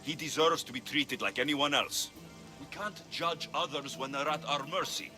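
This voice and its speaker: A man's voice: on the high side, normal speed, pushed and bright. A man playing a principled young mercenary in a video game, speaking directly and firmly. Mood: firm, earnest. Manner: direct, firm. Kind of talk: dramatic game cutscene dialogue. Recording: music bed behind voice.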